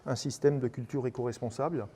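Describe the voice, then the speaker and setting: A man, a baker and amateur racing driver, answering interview questions in casual chat, quick and full of restarts. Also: mid-range voice, steady tone, mellow, husky. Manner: fast, with many repeated words and restarts.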